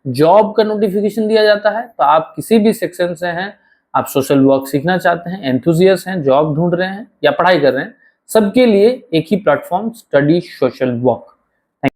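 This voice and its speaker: A man with a hoarse, sore throat, teaching an online exam-prep lecture and talking straight to his students, repeating key phrases slowly. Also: high-pitched, darkish tone. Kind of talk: online lecture with course promotion.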